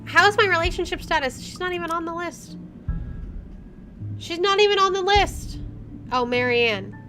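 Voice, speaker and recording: A woman's voice: very high voice, moderate pace, sharp tone, soft background music. A woman doing gameplay commentary, reading a visual novel aloud and reacting casually.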